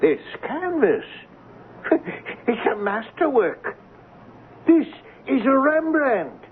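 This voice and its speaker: Ranting, grandiose mad painter, swooping pitch. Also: male voice, sing-song, slow pace, slightly rough, exaggerated voice. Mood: fervent, ranting. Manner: ranting, pompous, theatrical declaiming. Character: deranged, grandiose anarchist painter, a man who fancies himself persecuted. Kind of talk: old-time radio drama acting. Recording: tinny, hissy old radio broadcast.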